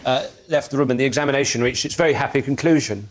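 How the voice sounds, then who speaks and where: A man's voice: medium pitch, quick pace, heavy background noise. A man telling a comic anecdote as a guest on a panel show, stumbling a little as he goes. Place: TV studio with audience.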